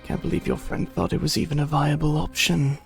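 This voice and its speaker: A streamer voicing a mocking, sinister male digital villain who talks in slow taunts and drops to whispers. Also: medium pitch, flat delivery, gravelly, faint music behind, close to an everyday voice. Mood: taunting. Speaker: streamer voicing a visual novel.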